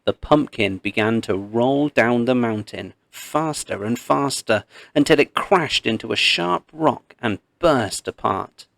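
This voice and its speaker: Male narrator for children's storybook read-along: unhurried, expressive, mid-range voice, dry studio-like sound. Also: mid-range voice, gravelly, no room sound. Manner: measured, expressive storytelling with pauses. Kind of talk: scripted read-aloud storytelling of a myth.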